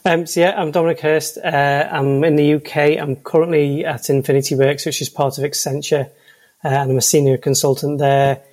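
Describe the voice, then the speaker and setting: A man's voice: fairly high pitch, flat delivery, a little fast, darkish tone. A man with a Liverpool accent, a guest in a casual interview, talking hesitantly with many ums and restarts. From Liverpool, England. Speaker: podcast guest, senior consultant.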